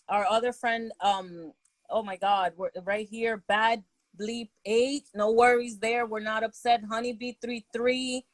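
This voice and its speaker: A woman with a fairly high voice, co-hosting a podcast in relaxed, casual chat. She talks in a reassuring, easygoing way, full of "you know" and "um", recorded dry and close with no room sound. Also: dry recording, low-quality recording. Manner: laid-back, reassuring, filler-heavy. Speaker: podcast co-host.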